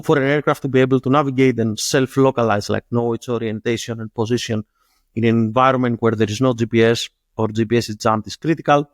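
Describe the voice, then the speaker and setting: A man's voice: mid-range voice, no echo. Greek-accented man, close dry sound. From Greece. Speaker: startup founder and interview guest. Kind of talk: interview answers.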